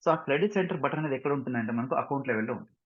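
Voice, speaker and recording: A man with a Telugu Indian accent giving a step-by-step software tutorial, mixing in English and often checking understanding with short tag questions. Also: medium pitch, expressive, racing, slightly rough. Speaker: software instructor. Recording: muffled lo-fi mic, roomy echo.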